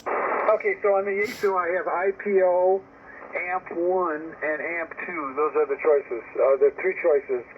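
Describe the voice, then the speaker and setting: A man's voice: a little high, steady tone, measured pace. A hesitant man in a casual technical chat as an amateur operator, heard over a radio transmission. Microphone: heard over a radio link. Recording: tinny narrowband radio with static noise.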